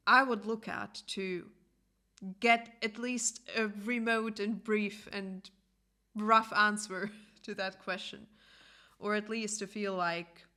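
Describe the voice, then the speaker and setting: A young adult woman whose first language is German, hosting a podcast and speaking in a reflective personal monologue. She pauses often and searches for words. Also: medium pitch, bright, forceful tone, smooth. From Latvia. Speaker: podcast host.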